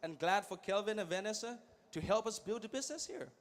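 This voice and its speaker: A man in his thirties giving an energetic motivational speech at a large event, English not his first language, swinging from quiet asides to loud calls to the crowd. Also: sits high, fast talker. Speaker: guest speaker.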